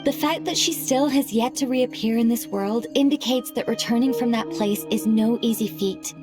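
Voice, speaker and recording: A woman voicing an earnest, scholarly young lady in a game cutscene, speaking in a formal, measured, articulate way. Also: fairly high pitch, music in the background, natural voice. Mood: thoughtful, earnest. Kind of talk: animated game cutscene voice acting.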